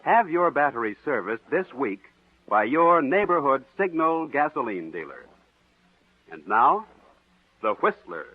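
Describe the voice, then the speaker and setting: Old tinny radio ad guy, 1940s announcer, very animated, bit husky, explains and sells hard. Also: mid-range voice, unhurried. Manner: instructive, emphatic salesmanship. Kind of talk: 1940s radio sponsor advertisement, scripted. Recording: tinny, narrow-band vintage radio recording.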